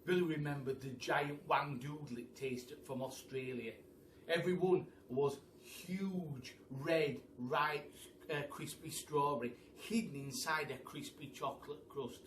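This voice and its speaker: Man, children's storytelling, bright forceful voice, slightly high, animated, distant echoey recording. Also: a little slow. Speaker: teacher storyteller. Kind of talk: expressive children's storybook reading. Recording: echoey room, distant mic, faint noise.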